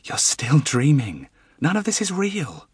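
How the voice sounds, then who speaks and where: A man voicing a gentle, worried young wife who speaks softly and steadily. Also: fairly high pitch, a little slow, slightly rough, dry recording, clean studio sound, voice pushed up, heavily acted. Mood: gentle, concerned. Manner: soft and steady, softly whispered.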